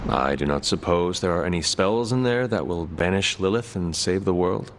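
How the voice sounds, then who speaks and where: A man voicing a solemn, courteous warrior hero in a video game, speaking in formal, measured phrases. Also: low-pitched. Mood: grave, earnest. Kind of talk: scripted game dialogue. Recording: music behind the voice.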